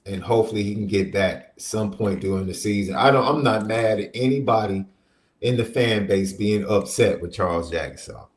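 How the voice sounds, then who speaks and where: A man with a stuffy summer cold hosting a casual sports podcast, animated and laughing often. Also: fairly low pitch, normal speed. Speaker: podcast host and minister. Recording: roomy echo.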